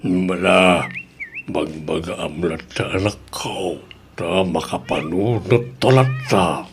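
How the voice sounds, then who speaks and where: A man's voice: low-pitched, slow. A man with a Filipino accent playing a worried, grumbling older father in an audio drama. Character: worried, grumbling old father of grown children. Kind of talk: Ilocano radio drama acting.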